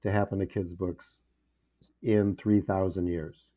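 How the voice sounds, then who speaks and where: A man's voice: sits low, little pitch movement, unhurried, muffled recording. A man chatting casually and playfully as a podcast guest, with a joking manner. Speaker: podcast guest, children's book author. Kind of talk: casual chat.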